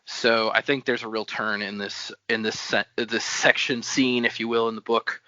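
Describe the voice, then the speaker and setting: A man chats about a book with friends, often starting a sentence over before finishing it. His voice is very bright and tight. The recording sounds dry and a little dull. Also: lowish voice, steady tone, normal speed, dry recording. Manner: chatty, restarts sentences often. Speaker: podcast co-host. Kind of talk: casual book discussion.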